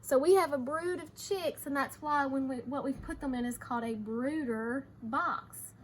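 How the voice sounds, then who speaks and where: A woman teacher reading aloud to young children, expressive, with simple explanations along the way. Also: high-pitched, normal speed, slight background noise.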